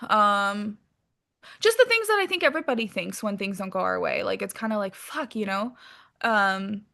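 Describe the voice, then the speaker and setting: A woman's voice: mid-range voice, lively intonation, moderate pace, vocal fry, pushed and bright. A woman being interviewed as a guest, talking casually and candidly with informal language and some swearing.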